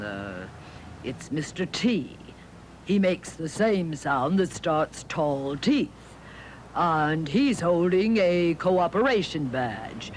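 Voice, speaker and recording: A man's voice: a little high, slight background noise. A man playing a pompous, skeptical judge who ponders as he talks on a children's educational puppet show. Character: pompous, skeptical male judge, hard to convince.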